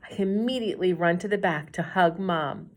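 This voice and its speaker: A middle-aged woman teacher reading a children's chapter book aloud in a gentle, storytelling manner. Her voice sits a little low, and she drops to a whisper on quiet lines. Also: normal speed.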